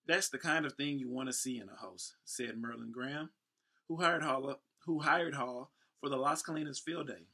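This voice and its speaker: Gravelly American man in his thirties. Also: mid-range voice, moderate pace. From Houston, Texas, United States. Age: 30s. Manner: playful, breaks into ad-libs and shout-outs. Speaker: host and professional event MC. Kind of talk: reading a newspaper story aloud with casual asides.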